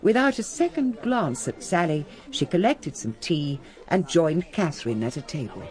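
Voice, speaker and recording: An actress playing a composed, genteel middle-aged English lady in a radio drama, speaking with measured grace. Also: low voice, expressive, darkish tone, poor audio. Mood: grave recollection. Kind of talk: radio drama acting and first-person narration.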